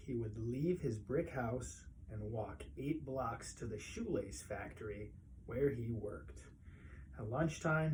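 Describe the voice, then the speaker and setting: A man reading a children's picture book aloud as a storyteller, expressively, with pauses and emphasis. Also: medium pitch, warm tone, a little hiss or hum, low-quality recording.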